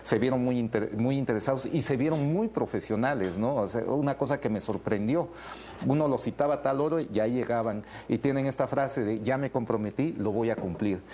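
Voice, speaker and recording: A man's voice: medium pitch, fairly quick, mellow. A man with a Mexican accent speaking as a film director in an interview, explaining and telling anecdotes in a casual way. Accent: Mexican Spanish. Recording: faint background noise.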